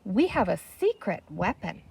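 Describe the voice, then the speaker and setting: A woman's voice: mid-range voice, big pitch swings, a little slow, creaky, a little mellow, breathy edge, full character voice. A woman reading a children's storybook in the part of a bossy, exasperated young girl with a firm, scolding manner. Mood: firm bargaining.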